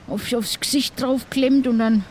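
A man's voice: very high voice, steady tone, measured pace, slight background noise. A man playing a cheeky, rambling pirate with a southern German accent in an improvised audio play. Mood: bossy, indignant. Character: cheeky, chatty male pirate, easily indignant.

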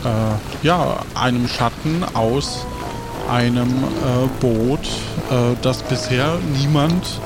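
A man's voice: mid-range voice, slow. A man hosting and narrating an improvised audio adventure as game master, hesitantly searching for his words. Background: music.

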